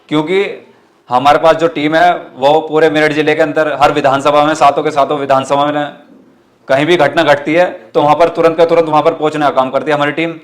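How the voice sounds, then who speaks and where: A man with a western Uttar Pradesh accent answering interview questions as a party activist, earnest and emphatic, often repeating his phrases. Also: on the high side. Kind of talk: interview answers with political rhetoric.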